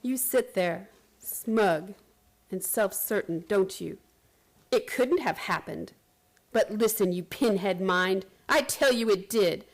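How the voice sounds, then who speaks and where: A woman's voice: medium pitch, slow pace. A woman acting in a radio play as an anxious, caring character who narrates and speaks in an earnest, hesitant, emotionally heightened way. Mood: bitter, desperate. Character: anxious, caring young woman recounting a strange event.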